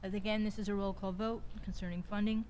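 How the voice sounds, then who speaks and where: A woman's voice: medium pitch, little pitch movement, quick pace, a little mellow. A woman working as a meeting clerk, formally calling names for votes in short phrases. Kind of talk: formal roll call. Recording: faint background noise in meeting room.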